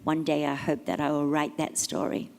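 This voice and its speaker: A middle-aged woman with a low voice reads written tributes aloud at a memorial service. She takes it gently and with measured pauses between phrases. The hall adds a long, lingering reverb. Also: monotone, gravelly. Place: memorial service venue, large room.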